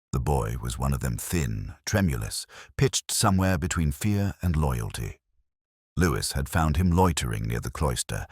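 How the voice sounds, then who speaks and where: An actor playing a weary, reflective police inspector, narrating a mystery in a measured, literary, brooding manner. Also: male voice, a bass voice, a little mellow, dry recording, clean studio sound, exaggerated voice. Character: weary, reflective male police inspector recounting his case. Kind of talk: scripted first-person mystery narration.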